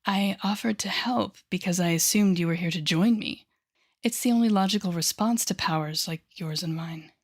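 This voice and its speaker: A woman playing an intense, coaxing female telepathic villain with a secretly tender side in an intimate audio roleplay, speaking softly and often whispering with deliberate dramatic pauses. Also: fairly low pitch. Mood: incredulous, sardonic. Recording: background music under the voice.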